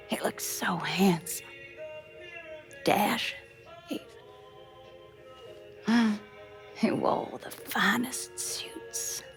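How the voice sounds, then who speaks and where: A woman's voice: medium pitch, vocal fry. An actress playing a poised, refined and scheming society businesswoman in a video game cutscene. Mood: dazed, wistful.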